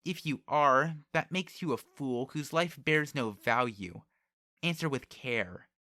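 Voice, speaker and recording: A man's voice: medium pitch, takes it slowly, bright, forceful tone, no room sound, clean studio sound, pitched above the performer's own voice. A man voicing a proud, cold young noblewoman who speaks formally and with condescension in an audiobook. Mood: threatening, haughty.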